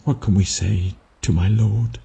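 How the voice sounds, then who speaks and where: A man's voice: lowish voice, steady tone, unhurried, gravelly, warm tone. A man voicing an indignant servant who emphatically protests his innocence in a dramatic scripture reading. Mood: dismayed.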